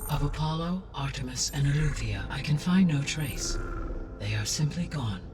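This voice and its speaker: A man's voice: a little high, lively intonation, over music, lightly acted. Man voicing grave, formal AI, slightly distant. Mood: solemn, informative. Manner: formal, measured, precise. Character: grave, courteous artificial intelligence guiding humanity's survival. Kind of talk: video game cutscene acting.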